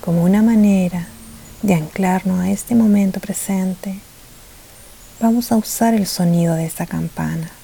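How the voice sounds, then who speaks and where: A woman leading a guided meditation, soft-spoken and soothing, with gentle pauses and a tendency to drift toward a breathy near-whisper. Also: mid-range voice. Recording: faint hiss behind the voice.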